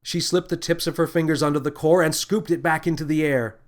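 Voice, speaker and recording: A man's voice: fairly high pitch, fairly even intonation, brisk. A man reading scripted sci-fi fiction as an audiobook narrator, in a dramatic storytelling style. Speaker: author and narrator.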